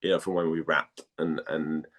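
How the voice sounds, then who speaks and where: A man from Denmark speaking casually as an interviewed actor, halting and repeating phrases as he searches for words. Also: a bass voice, level tone, a bit bright.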